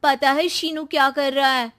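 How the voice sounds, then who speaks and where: A woman's voice: sits high, fairly even intonation, normal speed, a bit bright. A woman voicing a greedy, scheming young daughter in a moral story, insistent and insinuating.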